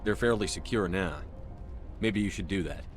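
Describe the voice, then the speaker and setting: A man's voice: sits low, moderate pace, music in the background, natural voice. A man playing a stoic, dutiful military commander in a video game, speaking in a direct, businesslike, firm way. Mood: firm, composed. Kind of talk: video game dialogue acting.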